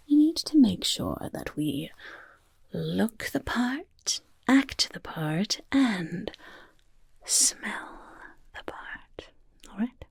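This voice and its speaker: A woman voicing a chaotic, motherly goblin overlord who loves filth, in a soft-spoken ASMR roleplay, coaxing and fussing in breathy, creaky whispers with repeated words, close to the microphone. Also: fairly high pitch, dry recording, full character voice. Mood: gleefully scheming. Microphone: close microphone, dry sound.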